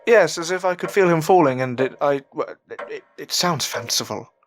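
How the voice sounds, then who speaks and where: A man playing an earnest, anxious upper-class gentleman learning magic in an audio drama, speaking politely and formally with a hesitant stammer. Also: a little high, lively intonation, normal speed, a little hiss or hum. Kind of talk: audio drama acting with narrated reflections. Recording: voice over prominent background music.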